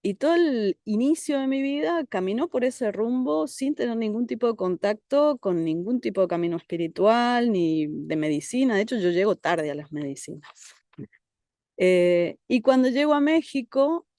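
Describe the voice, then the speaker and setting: A woman from Argentina with an Argentine Spanish accent, a therapist speaking as a guest in a calm, reflective interview. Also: fairly high pitch, moderate pace, no room sound, studio quality. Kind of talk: conversational interview. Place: country house outdoors among trees.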